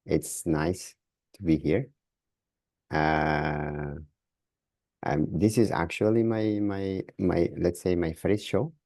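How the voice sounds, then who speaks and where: A man from Sudan with a Sudanese accent, answering as a podcast guest in a halting way, repeating words as he searches for phrasing. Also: low-pitched, fairly even intonation, a little mellow, dry recording, clean studio sound. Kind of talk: interview answers.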